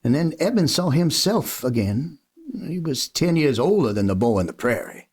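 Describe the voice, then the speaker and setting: A man's voice: medium pitch, big pitch swings, moderate pace, a little mellow, husky. A man playing a folksy cowboy storyteller narrating a Western radio play. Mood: storytelling. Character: folksy cowboy storyteller telling a boy a tale. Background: guitar music.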